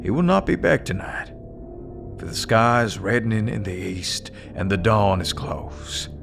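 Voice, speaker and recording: Grim vampire hunter, very slow, creaky gravel voice, terse, deliberate, audio drama over music. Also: male voice, fairly low pitch, big pitch swings, full character voice. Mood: grim, resolute. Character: laconic, steely male vampire hunter, Quincy Morris.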